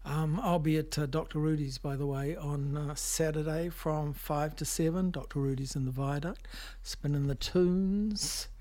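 A man hosting a music show in a casual, hesitant, low-key way. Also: steady tone, a little slow, mellow. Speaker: radio DJ and show host. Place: radio studio.

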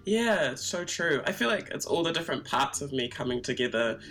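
A woman's voice: deep voice, big pitch swings, a little fast, fairly bright tone, faint music behind. A woman whose native tongue is Twi, answering casually as an interview guest, reflective and searching for words. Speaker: interview guest, performance artist.

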